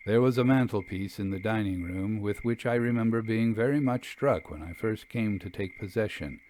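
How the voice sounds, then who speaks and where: A man reading a literary ghost story aloud in a measured, expressive, formal way. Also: fairly low pitch. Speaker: audiobook reader. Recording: clear background noise.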